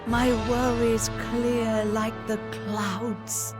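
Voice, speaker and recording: An actress playing a solemn, grateful mother in a video game, speaking formally in measured, poetic phrases. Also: a little high, flat delivery. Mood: solemn, relieved. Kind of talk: video game cutscene voice acting. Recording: loud music under the voice.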